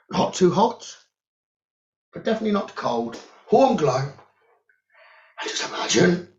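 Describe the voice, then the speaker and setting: A man leading a guided meditation as a teacher, giving gentle instructions in short phrases with long pauses. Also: on the high side. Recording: roomy echo, dull lo-fi sound.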